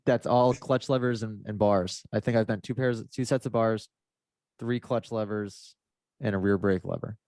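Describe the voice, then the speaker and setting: A man's voice: lowish voice, steady tone, normal speed, darkish tone, no room sound. A man chatting casually in a podcast, rambling and laid-back with lots of fillers, his nose a little stuffed up. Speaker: motocross racer.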